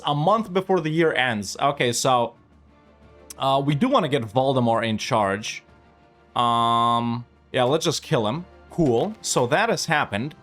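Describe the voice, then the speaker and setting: Young male gaming commentator: easygoing, chatty, rambling, faint music underneath. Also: medium pitch. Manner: relaxed, chatty, thinks aloud with many fillers; lively and upbeat when asking viewers to like and subscribe. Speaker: gaming YouTuber. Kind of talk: casual gameplay commentary.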